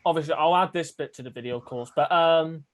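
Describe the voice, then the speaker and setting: A man's voice: fairly high pitch, fast. A young man hosting a casual football fan chat on a video call, rambling and laughing easily. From England. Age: young adult. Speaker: host of a fan podcast.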